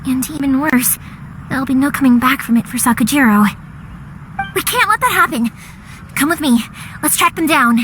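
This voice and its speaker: An actress voicing a cheerful young woman who makes fireworks, lively and quick to act, in a game. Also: sits high, a little hiss or hum. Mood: determined. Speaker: game character. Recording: game audio with music behind.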